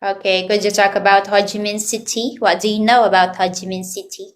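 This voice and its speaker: A woman's voice: mid-range voice, little pitch movement, brisk. A woman from the Philippines teaching an online English class to children, asking patient prompting questions over a video call.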